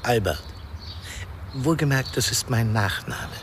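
An actor playing a shady male informer in a German radio crime drama, insinuating and controlled, with quiet menace. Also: medium pitch, lively intonation, slow pace, slight background noise. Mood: needling. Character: shady, insinuating informer, a man pursuing his own interests.

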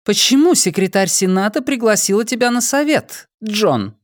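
A man voicing an imperious noblewoman who interrogates a prisoner in an audiobook, with a sharp, commanding manner. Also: sits high, lively intonation, moderate pace, dry recording, studio quality, pitched above the performer's own voice. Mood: cold, probing. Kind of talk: audiobook character voice.

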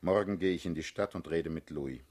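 A man's voice: rumbling low, fairly quick, a little mellow. An actor in a radio play, playing a middle-aged political leader who is fatherly, self-assured and mocking, with a persuasive, commanding manner. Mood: reassuring. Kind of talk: German radio play acting.

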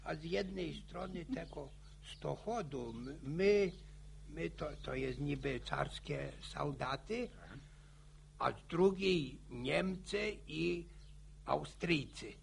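Old country grandfather, gravelly rasp, slow drawl, stammering, chuckling, boastful, radio drama. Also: fairly high pitch, animated, slight background noise, full character voice. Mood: boastful reminiscing. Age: older. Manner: chuckling folksy yarn-spinning. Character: old village grandfather, boastful war-story teller.

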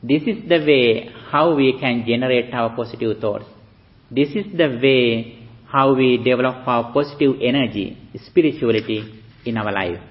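A man, a Buddhist monk from Sri Lanka with a Sri Lankan accent, giving a slow, halting dharma talk with pauses for an interpreter. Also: mid-range voice. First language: Sinhala. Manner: slow, halting speech with many fillers. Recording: dull lo-fi recording.

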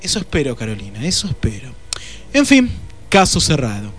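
A man's voice: medium pitch, wildly swooping pitch, brisk, fairly bright tone, faint music behind. An Argentine man playing a bumbling, evasive private detective with a hesitant, muttering manner in a radio play. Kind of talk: radio drama acting.

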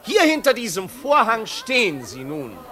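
An actor playing a patronising, theatrical master of ceremonies at a costume party in a 1950s radio play. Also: male voice, sits high, huge pitch swings, normal speed. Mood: smug, amused. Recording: noisy 1950s radio recording, party crowd. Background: crowd of party guests.

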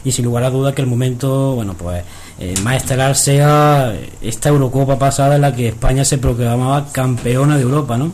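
A man's voice: medium pitch, fairly even intonation, brisk, a little mellow, a little hiss or hum. A man hosting a radio interview, asking questions formally and politely. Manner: formal, uses usted. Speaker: radio host.

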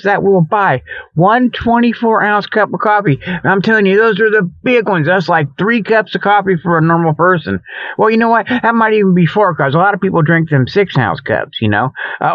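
A man hosting a comic radio report, rambling through a mock pitch in a folksy, colloquial way full of okays and you-knows. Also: dry recording. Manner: folksy, colloquial, frequent okay and you know. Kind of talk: rambling humorous monologue, mock charity pitch. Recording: dull lo-fi recording.

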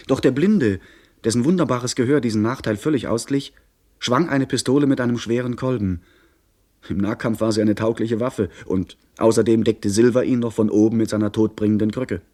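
Man playing young gentleman narrator in a radio play, measured dramatic storytelling, mid-pitched voice. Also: natural voice. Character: young gentleman recounting his pirate adventure.

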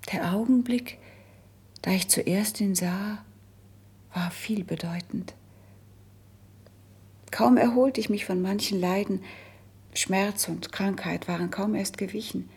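An actress playing a melancholy, resigned young princess who has been sickly since youth, in a classical verse radio drama, with a breathy, husky, hushed and measured delivery. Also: female voice, medium pitch, fairly even intonation, full character voice. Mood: wistful, confessional.

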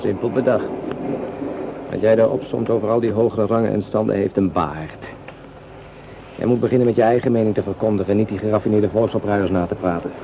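An actor playing an educated, skeptical young middle-class soldier in a radio play, measured and argumentative. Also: male voice, on the low side, fairly even intonation, natural voice. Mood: skeptical, reproving. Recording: dull, slightly noisy old radio recording.

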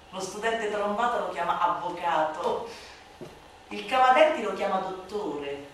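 A woman giving a theatrical, mocking, declamatory recitation of a satirical text, swinging between hushed slow phrases and loud sing-song. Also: fairly high pitch. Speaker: stage performer reciting a literary monologue. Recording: very echoey room, distant mic, light noise.

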